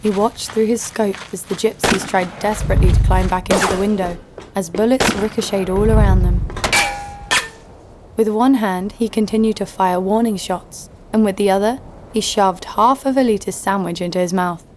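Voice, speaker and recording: A woman's voice: medium pitch, steady tone, normal speed, heavy background noise, lightly acted. An actress playing a tough, wry female adventurer who narrates her own story in a scripted audio drama. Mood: wry, tense. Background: music.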